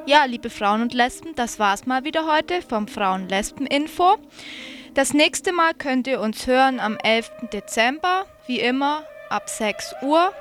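A woman's voice: sits high, animated, unhurried, a bit bright. A woman radio presenter reading out event announcements for listeners.

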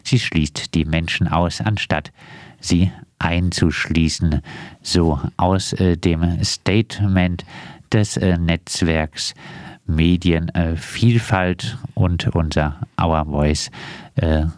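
Low-voiced, slow, hesitant male interviewer. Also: fairly even intonation, dry recording, studio quality. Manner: very hesitant, frequent filler pauses. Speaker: radio interviewer and host. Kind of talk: halting radio interview moderation.

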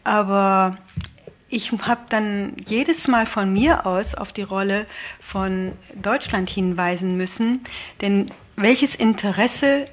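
A woman's voice: medium pitch, slow pace. Woman guest on radio explaining politics, mid voice, earnest and kinda argumentative. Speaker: interview guest, aid convoy volunteer. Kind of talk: studio interview, explaining political views. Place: radio studio.

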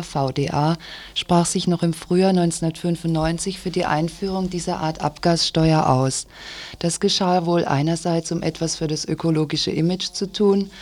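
A radio presenter with a medium-pitched voice delivers a scripted news commentary. The wording is pointed and critical, read out as a prepared report. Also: a little mellow.

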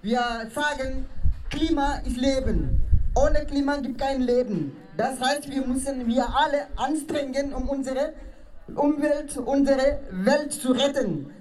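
A woman from Africa giving an impassioned rally speech in halting, non-native German. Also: sits high, a little mellow, poor audio. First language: not German. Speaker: speaker at a climate demonstration. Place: outdoors at a demonstration. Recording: outdoor rally with faint crowd.

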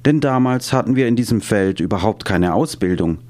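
Low-voiced man narrating a documentary. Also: expressive, brisk, darkish tone. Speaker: narrator and voice-over reader.

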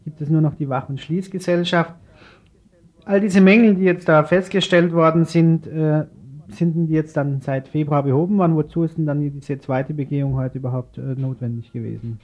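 A man's voice: a little high, steady tone, normal speed, a little mellow, dull audio. A man working as a radio interviewer, moving between scripted reading and informal, slightly hesitant questions. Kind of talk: news interview, partly scripted and read.

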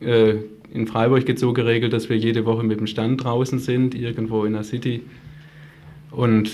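A man's voice: on the low side, a little mellow. A man speaking as an activist guest in a radio interview, explaining things earnestly and at length.

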